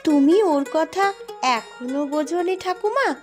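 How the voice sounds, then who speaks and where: A woman's voice: very high-pitched, unhurried, over music. A woman voicing a cautious, earnest young eldest sister, a princess, in a fairy-tale cartoon. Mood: placating. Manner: earnest, placating, admonishing.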